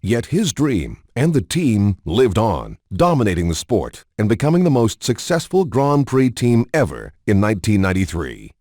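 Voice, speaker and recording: A man reading a scripted documentary narration in a measured, polished announcer delivery. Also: on the low side, huge pitch swings, darkish tone, no room sound, studio quality.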